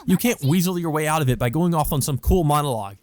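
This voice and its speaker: A man acts out an excitable young vampire woman in a visual novel. The voice is pushed high and girlish, dramatic and possessive, swinging between indignation and teasing. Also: quick pace, lightly acted. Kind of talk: visual novel character read aloud.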